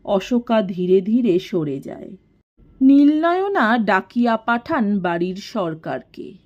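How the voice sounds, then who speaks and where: A woman reading a literary story in a measured, expressive storytelling manner. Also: medium pitch, dull audio. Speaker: story narrator. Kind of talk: scripted literary audiobook narration.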